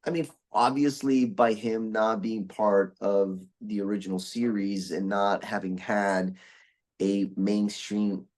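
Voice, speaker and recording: Brief: young Brazilian man, lowish voice, loose rambling chat with many false starts. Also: flat delivery, slow, a little mellow. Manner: rambling, with many restarts and fillers. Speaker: guest voice actor. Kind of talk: casual interview chat.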